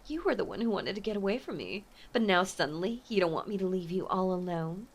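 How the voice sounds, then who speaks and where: A woman playing an obsessive, possessive mafia heiress ex-lover in an intimate audio drama, speaking with menacing sweetness and often slipping toward a breathy whisper. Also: mid-range voice, expressive, quick pace. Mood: falsely tender. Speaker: voice actor. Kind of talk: intimate dramatic roleplay monologue.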